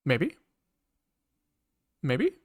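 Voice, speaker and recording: A man's voice: on the high side, huge pitch swings, very slow, breathy edge. A man live-streaming a programming session, talking casually to himself with informal, sweary muttering as he works things out. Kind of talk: casual thinking aloud while coding.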